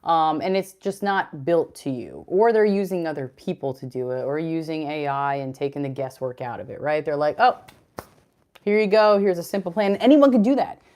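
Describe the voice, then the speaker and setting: Deep-voiced woman, chatty livestream host. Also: moderate pace, a little mellow. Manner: chatty, rambling, reads chat comments aloud. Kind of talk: casual livestream chat.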